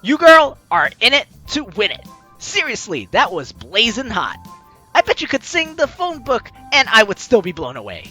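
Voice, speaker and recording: A playful, slangy gaming host reading a visual novel aloud and reacting in an animated way. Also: mid-range voice, normal speed, husky. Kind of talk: lively let's play, reading aloud and reacting. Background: game music.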